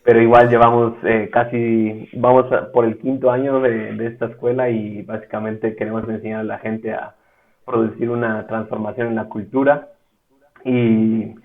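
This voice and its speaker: A man with a Mexican accent, speaking as a guest in a casual interview, measured and often pausing on "eh". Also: lowish voice, little pitch movement. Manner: measured, with frequent "eh" fillers. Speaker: guest, ministry school director. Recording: tinny phone-like line.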